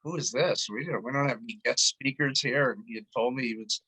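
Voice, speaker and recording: A man's voice: mid-range voice, quick pace, pushed and bright, breathy edge, rough recording. Man hosting a sports show. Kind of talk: scripted show hosting and interview.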